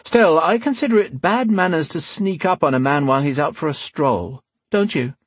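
A man voicing a wry, quietly threatening rogue in an audiobook, unhurried and mock-polite. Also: fairly high pitch, breathy edge, no echo, pitched above the performer's own voice. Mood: dry, dismissive. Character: cool, menacing male rogue with dagger, wryly amused. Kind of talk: dramatic audiobook character voice.

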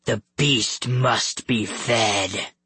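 Monstrous wolf-man villain played by a man, audiobook. Deep, raspy growl, slow and commanding, bloodthirsty. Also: fairly even intonation, bright, forceful tone, exaggerated voice. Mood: menacing. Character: monstrous wolfish man, boastful, bloodthirsty video-game villain.

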